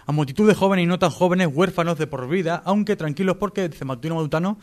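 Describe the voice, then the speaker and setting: A man's voice: a little high, fast talker, darkish tone. A man with an accent from Spain co-hosting a radio show, delivering comic banter and mock-solemn news in a playfully grandiose way. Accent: Spanish from Spain. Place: radio studio.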